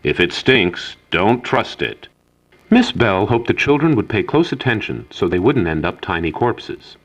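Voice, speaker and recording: Animated deep-voiced male storybook narrator. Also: unhurried, dull audio, a little hiss or hum. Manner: theatrical, mock-earnest storyteller. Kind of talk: scripted narration in the manner of an old children's educational safety film.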